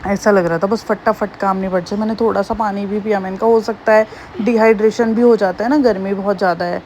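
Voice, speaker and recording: A woman in her thirties with a slightly hoarse voice, chatting homely and rambling through her daily vlog. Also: mid-range voice, steady tone, a little fast, darkish tone, a little hiss or hum. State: hoarse, slightly sore throat. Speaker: vlogger. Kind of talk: casual daily-life vlog narration.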